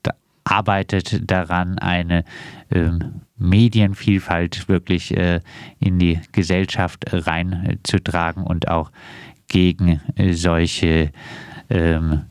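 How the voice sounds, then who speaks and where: A man hosting a radio interview in a halting, very hesitant way, with frequent filler pauses. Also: deep voice, little pitch movement, no room sound, clean studio sound.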